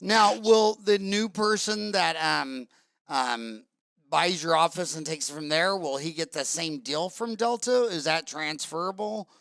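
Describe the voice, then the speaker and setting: A male host fires off casual, joking questions in a high voice with a gravelly edge. Under the rasp his tone stays smooth and resonant. The recording is dry and close. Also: a little slow, a bit bright, dry recording. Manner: jokey, informal, blunt questions. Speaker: podcast host. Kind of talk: casual interview conversation.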